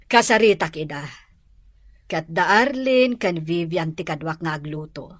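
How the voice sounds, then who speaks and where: Low-voiced, unhurried Ilocano madam played by a woman. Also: no echo, studio quality. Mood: warm, planning. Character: married madam of the house, gracious and hospitable. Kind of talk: acted dialogue in an Ilocano drama.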